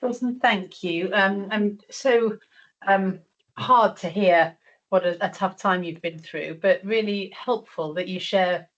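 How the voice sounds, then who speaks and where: A woman's voice: medium pitch, unhurried. A woman chairing a board meeting, thanking a speaker and inviting questions, with frequent fillers and restarts. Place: meeting room. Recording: dull lo-fi meeting audio.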